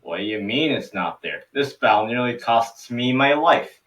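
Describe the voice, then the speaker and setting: A man's voice: mid-range voice, animated, moderate pace, fairly bright tone, distant mic, pitched above the performer's own voice, close to an everyday voice. A man voicing a wounded alien warlord, menacing, angry and imperious, in a cartoon table read. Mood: indignant. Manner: commanding, imperious. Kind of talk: animated series table read.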